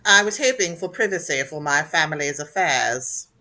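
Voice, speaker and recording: A woman's voice: low voice, expressive, moderate pace, sharp tone, full character voice, rough recording. A woman playing a haughty, stern aristocratic aunt in a radio play, imperious and blunt. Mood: scornful.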